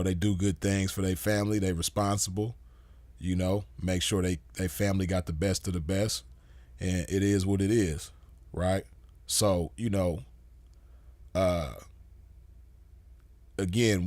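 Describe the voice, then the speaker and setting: A man's voice: low voice, no room sound. A man giving a casual commentary monologue as host, laid-back and full of "you know" fillers. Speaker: podcast host.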